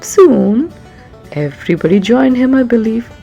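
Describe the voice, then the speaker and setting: A woman's voice: a little high, expressive, measured pace, mellow, lo-fi sound, faint music behind. A woman reading a children's story aloud, chatting and putting questions to young listeners as she explains.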